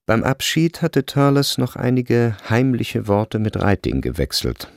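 A man narrating a literary radio drama from a script, measured and precise in his storytelling. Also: mid-range voice, very animated, vocal fry, no echo, studio quality.

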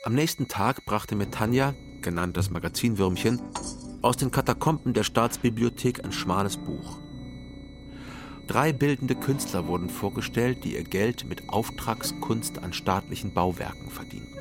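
An actor playing a wry, understated East German private detective in a crime radio drama. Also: male voice, lowish voice, normal speed, over music, natural voice. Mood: sober, brooding. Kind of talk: first-person crime radio drama narration and dialogue.

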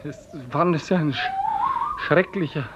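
A high-strung man in an old radio drama. His voice is high and swings widely, with theatrical, halting phrases that he repeats. The recording is dull, with sounds behind him. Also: huge pitch swings, very noisy. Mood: shaken, hesitant. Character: nervous, hesitant man who turns haughtily commanding in dreams. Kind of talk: 1950s German radio play acting.

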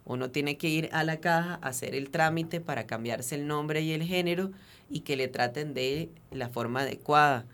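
A man's voice: fairly high pitch, moderate pace, a bit bright, smooth. Earnest Venezuelan man explaining at length. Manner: earnest, detailed, with frequent fillers and restarts. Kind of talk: radio interview, explanatory.

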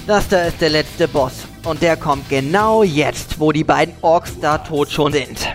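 A man's voice: fairly high pitch, unhurried, faint music behind, close to an everyday voice. A man playing an arrogant, foul-mouthed elf who bosses and sneers in a fantasy parody audio drama. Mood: arrogant, annoyed. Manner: bossy, sneering, insulting. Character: arrogant, foul-mouthed, impatient male elf adventurer Legolas.

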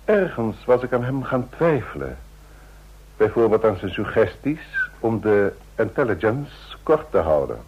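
A shrewd, easygoing president in an old radio drama. He speaks formally and probingly, with wide swings of intonation in a husky, fairly low voice. The recording is dull and muffled. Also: very animated, unhurried, heavily acted. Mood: probing, composed. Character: shrewd, easygoing president of a large federation, a man.

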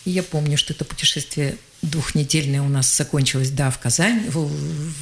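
An older woman, a journalist and geologist, telling lively anecdotes as a guest on a radio interview and acting out the voices she quotes. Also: deep voice, brisk, a little hiss or hum.

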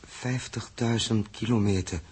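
Husky, tense male spaceship pilot. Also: fairly low pitch, fairly even intonation, a little slow, a little mellow. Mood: tense, urgent. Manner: urgent, technical explaining. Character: tense, self-blaming male spaceship pilot, explaining patiently. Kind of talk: 1960s radio drama acting.

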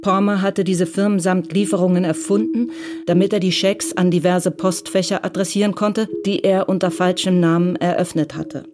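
A woman reading scripted literary narration for a radio crime drama in a measured, matter-of-fact way. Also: fairly low pitch, steady tone.

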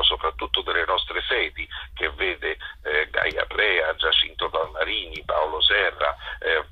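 A man's voice: low voice, husky. A man, a theatre director, giving a reflective, somewhat hesitant radio interview over the telephone. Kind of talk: radio interview, explaining views. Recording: muffled phone call to radio.

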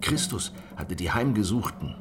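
An actor playing a resolute revolutionary in a German radio drama, narrating and speaking in a deliberate, measured manner. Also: male voice, low-pitched, lively intonation. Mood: resolute, reflective. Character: measured male revolutionary recounting his campaign among outcasts. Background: music.

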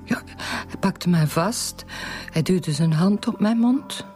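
A flustered Flemish widow giving her account to police. She speaks haltingly and stammers over words, with faint music underneath in a radio crime play. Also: on the low side, warm tone. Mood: shaken. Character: flustered widowed bakery shopkeeper, recounting a robbery. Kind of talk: radio drama acting.

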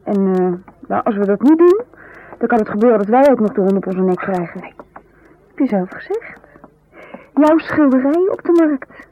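A woman's voice: a little high, animated, normal speed, close to an everyday voice. An actress playing a restless, needling wife in a 1970s radio drama, pointed and exasperated in her delivery. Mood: insistent, scornful. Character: restless, nagging wife, scornful and fearful, urging escape. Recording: thin phone-like vintage radio, faint hiss.

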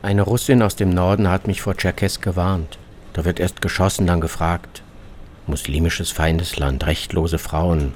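A man reading a scripted literary radio travelogue as its narrator, in terse, deliberate fragments. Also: low-pitched, fairly even intonation, a little mellow, slight background noise. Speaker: author narrating his own journey. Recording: music bed under the voice. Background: music.

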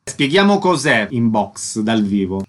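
A man's voice: on the low side, sing-song, unhurried, noisy background. A man hosting a radio interview, introducing guests and putting short questions to them.

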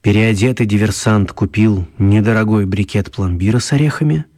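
A man's voice: on the low side, normal speed, darkish tone, close to an everyday voice. A man narrating a mock spy adventure as the storyteller of a children's radio play. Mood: measured storytelling.

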